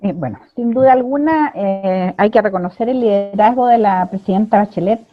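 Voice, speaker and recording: A Chilean woman hosting an interview, speaking in a measured way with frequent hesitations. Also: medium pitch, no echo. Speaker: interview host. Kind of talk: interview questions and comments. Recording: dry tinny phone-like line.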